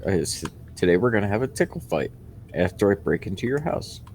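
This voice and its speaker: A man's voice: deep voice, very animated, brisk, darkish tone. A man co-hosting a casual reaction video with wisecracking banter. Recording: background noise.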